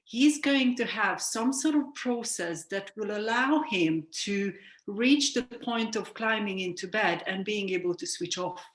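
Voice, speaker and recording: A woman's voice: mid-range voice, a little slow. A woman, a sleep coach explaining ideas in an earnest, reflective way and sometimes correcting herself mid-sentence. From Hungary. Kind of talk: explanatory interview talk. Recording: roomy echo.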